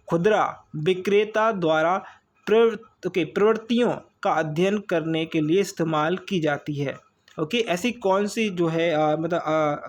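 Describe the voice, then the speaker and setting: High-pitched Indian man teaching. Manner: instructive, repeats key phrases, punctuates with short check words. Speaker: online exam-prep teacher. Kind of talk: informal lesson going through multiple-choice questions.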